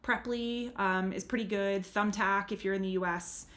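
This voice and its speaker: A woman's voice: medium pitch, fairly even intonation, normal speed, fairly bright tone. A woman from the United States, a language tutor, giving chatty, earnest advice straight to the camera. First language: English. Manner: chatty and earnest, leans on "really" and "super". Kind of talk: casual advice talk to camera.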